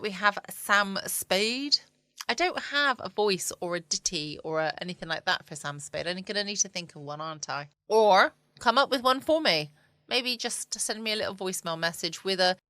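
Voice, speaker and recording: English female podcast host, casual bedtime chat, crisp bright tone, dry quiet home recording. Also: on the low side, dry recording. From England.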